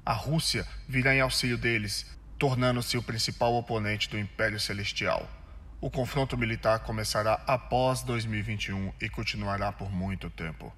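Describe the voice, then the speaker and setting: A man putting on a Russian accent to voice a solemn male seer foretelling war, in a slow, grave delivery. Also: fairly low pitch, steady tone, a bit bright, long reverb tail, pitched below the performer's own voice. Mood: ominous. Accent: Russian, put on.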